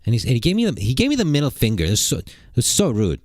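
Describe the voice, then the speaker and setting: A man putting on a high falsetto voice as a fussy young housewife and mother, prattling and complaining in a mock-sweet way. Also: huge pitch swings, racing, darkish tone, no echo, studio quality.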